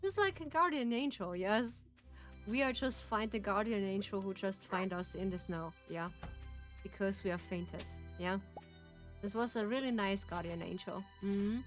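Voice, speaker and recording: German-accented woman streamer, slow and gentle. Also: medium pitch. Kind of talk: casual gameplay chat.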